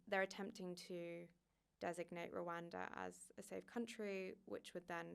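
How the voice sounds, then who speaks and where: Low, creaky woman journalist. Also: fairly bright tone. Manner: thoughtful, hedging with fillers and restarts. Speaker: migration journalist guest. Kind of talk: podcast interview answers.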